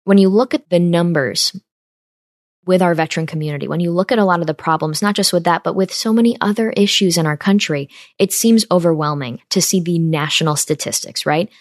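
A woman's voice: fairly low pitch, fairly quick, no echo, clean studio sound. A woman in her twenties from upstate New York, hosting a podcast and talking earnestly and directly to her listeners. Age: 20s. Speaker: podcast host. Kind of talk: casual monologue.

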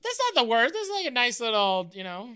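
Thirties guy cohosting a podcast, sharp bright voice, real lively, blunt, keeps repeating himself, dry sound. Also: very high-pitched, a little fast, smooth, no room sound. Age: 30s. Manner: repetitive, insistent, blunt. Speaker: podcast co-host. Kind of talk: casual comedic banter.

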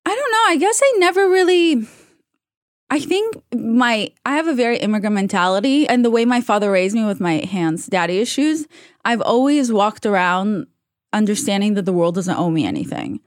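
A woman's voice: medium pitch, expressive, normal speed, no room sound, studio quality. A young adult woman born in Russia and raised in Israel, telling her story as a podcast guest in a candid, chatty way. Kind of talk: casual interview chat.

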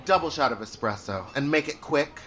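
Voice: medium pitch, animated, a little fast, fairly bright tone, lightly acted. An actor playing a bitchy, demanding drag queen star in a comedic audio drama, haughty and theatrical.

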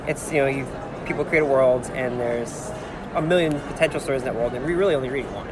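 A man's voice: medium pitch, quick pace, a loud crowd. A man hosting a casual podcast interview, rambling effusively and restarting his sentences as he goes. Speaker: podcast host interviewing authors. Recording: noisy on-location recording, ambient background sound.

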